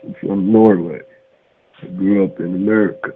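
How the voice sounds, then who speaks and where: A man's voice: deep voice, fairly even intonation, normal speed. A man on a phone call, blunt and pushy, laughing off questions and repeating his demands. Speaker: phone scammer. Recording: tinny phone line with faint hiss.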